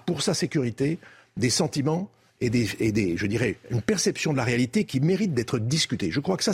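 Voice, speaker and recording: An older man with a French accent from France, husky-voiced, recounting diplomatic history as a debate guest in a measured, didactic way with emphatic phrasing. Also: medium pitch.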